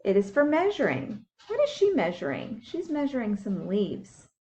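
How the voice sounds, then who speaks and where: A woman's voice: on the high side, huge pitch swings, normal speed, darkish tone, highs cut off, slight background noise. A woman teacher reading a science book aloud for young children, explaining things simply and putting questions to her listeners. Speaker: school teacher.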